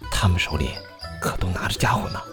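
An actor playing a cautious young gentleman in a period audio drama, urgent and placating. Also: low-pitched, fairly quick, lightly acted. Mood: nervous. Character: cautious young gentleman friend in ancient China. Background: music.

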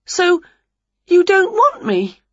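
A woman voicing a brash, eager, cheerful witch in a children's storybook, exclaiming with boisterous excitement. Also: high-pitched, a little slow, no room sound, lo-fi sound, voice pushed up, exaggerated voice. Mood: indignant.

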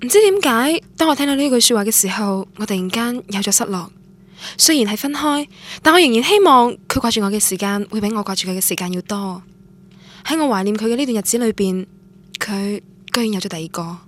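An actress playing a young student looking back on lost love, narrating reflectively in Guangdong Cantonese in a radio drama. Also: female voice, medium pitch, lively intonation, fairly quick, close to an everyday voice. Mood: wistful. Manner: wistful, reflective, literary. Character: wistful young woman student recalling lost first love. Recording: music bed under voice.

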